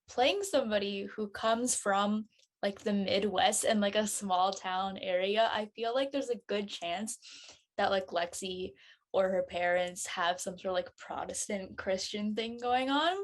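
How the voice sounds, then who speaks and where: Casual woman with a mid-pitched voice. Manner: informal, frequent "like". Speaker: voice actor on a live show. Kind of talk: casual chat about her character.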